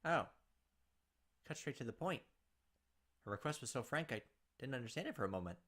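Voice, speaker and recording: A man's voice: mid-range voice, animated, a little fast, a bit bright. A man reading a visual novel's text aloud in a let's play, adding joking asides.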